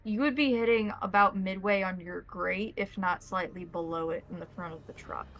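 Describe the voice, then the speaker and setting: A woman's voice: mid-range voice, fairly even intonation, moderate pace, fairly bright tone, slight background noise. A woman acting as game master, explaining and describing scenes in detail for a tabletop role-playing game.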